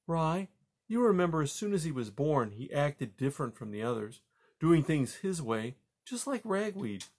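A man plays an anxious, gentle mother mouse from a children's storybook. The voice sits high and swings up and down. The manner is polite, earnest and a little hurt. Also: sing-song, moderate pace. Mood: gentle, defensive.

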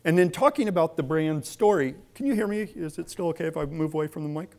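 A man's voice: fairly high pitch, expressive, quick pace, a little mellow. A middle-aged man giving a conversational lecture in a large indoor hall, speaking into a lectern mic and then a clip-on mic while moving around. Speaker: guest speaker. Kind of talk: public lecture.

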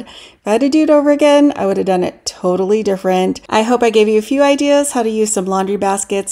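Middle-aged woman, gravelly voice, warm tone, friendly step-by-step craft voice-over. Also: medium pitch. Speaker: DIY craft channel host. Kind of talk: voice-over tutorial narration.

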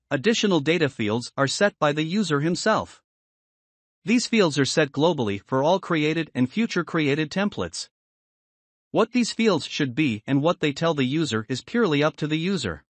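A man giving scripted, instructional software tutorial narration in a measured, explanatory manner. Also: a little high, no room sound.

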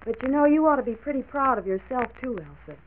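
Solicitous American doctor's wife, very expressive; tinny vintage 1940s radio recording. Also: female voice, high voice, quick pace. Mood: admiring, friendly. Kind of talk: late 1940s radio drama acting. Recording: tinny, hissy 1940s radio transcription disc.

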